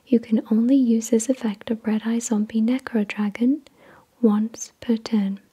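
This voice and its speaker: Breathy whispering woman, slow ASMR delivery. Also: fairly high pitch, fairly even intonation, mellow. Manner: slow, careful, soothing enunciation. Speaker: ASMR video host. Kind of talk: soft-spoken ASMR card unboxing, reading descriptions.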